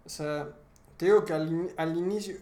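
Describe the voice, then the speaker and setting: Casual, sweary Mexican man with highish voice. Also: moderate pace, a little mellow. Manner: slangy, frequently profane. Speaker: podcast co-host. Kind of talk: casual chat about video games.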